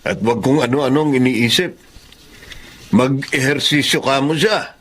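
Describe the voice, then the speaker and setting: An actor playing a kind, thoughtful doctor husband in a radio drama, considerate and easygoing. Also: male voice, medium pitch, unhurried, a bit bright. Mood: supportive. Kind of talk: Tagalog radio drama acting.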